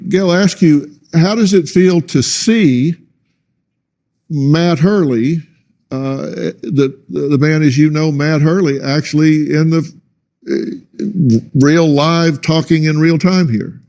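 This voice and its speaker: A talk show host, a man with a fairly high but dark, mellow voice. He questions his guests slowly and deliberately, restarting phrases as he goes, in a television studio. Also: creaky.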